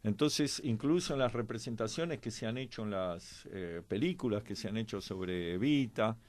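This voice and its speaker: A man with an Argentine accent giving conversational historical commentary as a panelist, reflective and halting, often pausing mid-thought. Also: on the low side, expressive, darkish tone. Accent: Argentine Spanish. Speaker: panelist on a history and politics programme.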